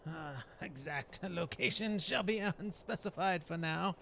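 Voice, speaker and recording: A genteel dark elf shaman from a fantasy game, acted by a man, muses to himself in slow, formal, halting phrases. The voice is gravelly and slightly high, pleased with itself, with clear noise behind it. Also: exaggerated voice. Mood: reminiscing, wry. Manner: musing, halting, chuckling, old-fashioned formal wording. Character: genteel, self-satisfied bearded dark elf shaman adventurer. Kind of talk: voiced roleplay narration of gameplay. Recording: clearly noisy background.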